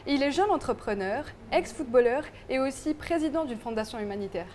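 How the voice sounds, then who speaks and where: A woman's voice: high-pitched, lively intonation, fairly quick, a little mellow, slight background noise. A woman host asking formal, polite interview questions.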